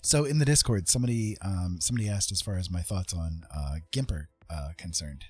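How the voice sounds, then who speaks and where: A man in his fifties with a lowish, husky voice chats casually on a livestream as he draws. He is friendly and rambling, thinking out loud as he goes. Music plays softly behind him. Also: a little fast, no room sound. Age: 50s. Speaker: art livestream host. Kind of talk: casual livestream chat while drawing.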